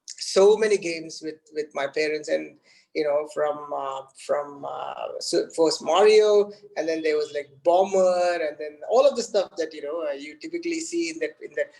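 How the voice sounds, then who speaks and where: A man from India whose first language is Tamil, speaking English with an Indian accent. He is a guest in a casual conversation, and he talks haltingly with lots of restarts and fillers. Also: fairly high pitch, creaky, a little mellow, rough recording. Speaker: guest game developer.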